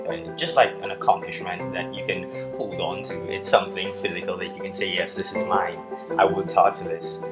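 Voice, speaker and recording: A man with a very low voice reflects earnestly on becoming a first-time homeowner. He speaks in a personal, testimonial style. Loud guitar music plays under his voice throughout. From Trinidad and Tobago. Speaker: first-time home buyer.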